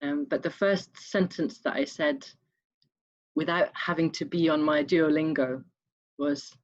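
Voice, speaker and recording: Slow, hesitant woman with an English accent. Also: low voice, steady tone. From Scotland. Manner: halting, hesitant with many ums. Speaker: online summit participant. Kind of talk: reflective sharing in a group call. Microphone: video call. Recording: muffled video-call audio.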